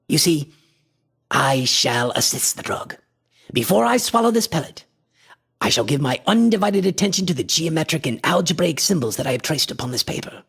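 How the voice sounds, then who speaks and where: Man acting a husky, creaky, obsessed antiquarian. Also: fairly high pitch, fairly bright tone, full character voice. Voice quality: breathy, husky, often dropping to a whisper. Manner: grandiose, deliberate, formal diction. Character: obsessed, imperious male antiquarian experimenting with a mystical drug. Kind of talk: theatrical radio horror acting.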